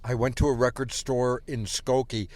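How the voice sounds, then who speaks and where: A man's voice: mid-range voice, little pitch movement, moderate pace, a little mellow, dry recording. An older man with a Chicago accent, a guest chatting and teasing the host in a wry way at a restaurant. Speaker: guest, former radio marketer. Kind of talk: casual chat.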